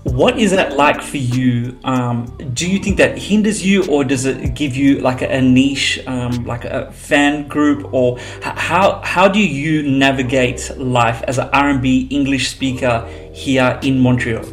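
Man, interviewer, rambling, filler-heavy, mid pitch, faint music. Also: measured pace, few pauses. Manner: rambling, hesitant questions full of fillers. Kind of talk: casual interview questions.

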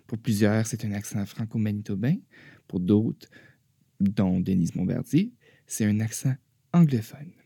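A man presenting a radio show from a script, with a husky voice. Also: fairly low pitch, measured pace, mellow, no echo, studio quality. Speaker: radio host.

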